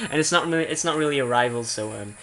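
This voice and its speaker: A man's voice: mid-range voice, brisk, sharp tone. A young man from Belgium giving casual let's play commentary, rambling and often interrupting himself as he goes. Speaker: video game streamer.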